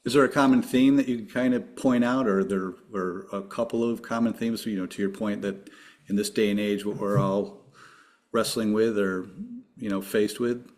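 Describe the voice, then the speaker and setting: A man's voice: fairly low pitch, steady tone, moderate pace, darkish tone. A man hosting a podcast, asking an upbeat string of numbered questions to his guest. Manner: upbeat, reads structured questions. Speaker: podcast host. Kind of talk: interview questions.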